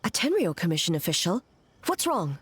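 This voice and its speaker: A woman voicing a calm, formal female ruler in an anime game, with measured authority. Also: fairly low pitch, a little hiss or hum. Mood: composed, commanding. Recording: music under the voice.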